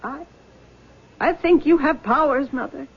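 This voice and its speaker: A high-pitched distraught young woman in a 1970s radio play. She speaks intensely, as if haunted and pushed to the edge. The recording is dull and lo-fi. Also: a little slow, gravelly. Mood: agitated, accusing. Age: young adult. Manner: intense, overwrought delivery. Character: distraught, accusing young daughter haunted by dead sweetheart. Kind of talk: 1970s radio drama acting.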